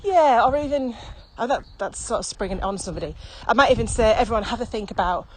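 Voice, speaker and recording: A woman's voice: a little high, animated, fast talker. A British woman in a casual podcast chat, explaining from experience as a training expert in an informal, practical way, recorded outdoors while out walking. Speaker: virtual training expert being interviewed. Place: outdoors, walking on a country path. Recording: outdoor ambience, faint background noise.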